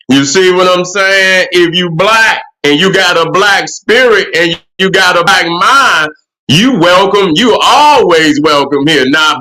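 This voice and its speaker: High bright-voiced profane livestream host. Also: male voice, low-quality recording. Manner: profane, rambling, repeats "you know what I'm saying". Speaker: livestream host answering chat. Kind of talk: casual livestream commentary.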